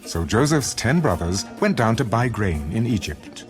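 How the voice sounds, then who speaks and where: Low, expressive male scripture narrator. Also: moderate pace. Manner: solemn storytelling. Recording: loud music bed.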